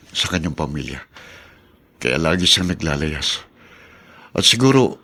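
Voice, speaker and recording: A man's voice: sits low, pushed and bright, husky, exaggerated voice. A man playing a worried, kindly middle-aged taxi driver in a radio drama, earnest and hesitant.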